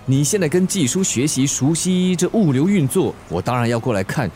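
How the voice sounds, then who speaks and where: Lively big-brotherly Singaporean man, played by an actor. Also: fairly high pitch, fairly quick, soft background music, close to an everyday voice. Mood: mock-stern, caring. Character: adult male private-hire driver, generous, caring mentor. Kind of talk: Mandarin radio drama acting.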